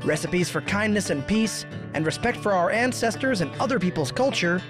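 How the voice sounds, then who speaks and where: Narrator over clear guitar music. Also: medium pitch, lively intonation, moderate pace. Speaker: intro narrator. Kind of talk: scripted show-opening narration.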